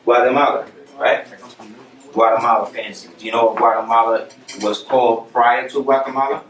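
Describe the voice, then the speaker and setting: A man speaking to high school students in a classroom, coaxing answers out of them with brisk, streetwise questions. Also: level tone. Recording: roomy, distant, noisy classroom recording.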